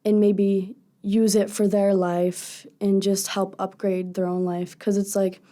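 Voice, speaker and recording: A young woman with a low, raspy voice, a singer being interviewed on a radio show and speaking earnestly and candidly at length. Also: flat delivery, unhurried, warm tone. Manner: earnest, candid, talks in long thoughtful runs. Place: radio studio.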